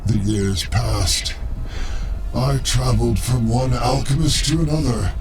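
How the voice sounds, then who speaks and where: A man playing a weary, distrustful and powerful artificial being in a video game, speaking in a solemn, measured way. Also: mid-range voice, over music, natural voice. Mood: bitter, solemn. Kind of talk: video game cutscene acting.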